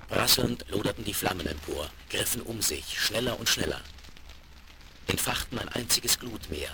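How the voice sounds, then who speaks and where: A man's voice: fairly low pitch, creaky, noisy background. A man narrating a Western radio play in a dramatic, scripted storytelling style. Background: music.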